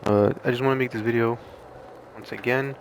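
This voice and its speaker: A man's voice: mid-range voice, fairly even intonation, brisk, background noise. A man doing casual gameplay commentary in an informal, rambling, hesitant way, his voice slightly strained as if starting to give out. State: losing his voice, mildly strained. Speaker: gaming video creator.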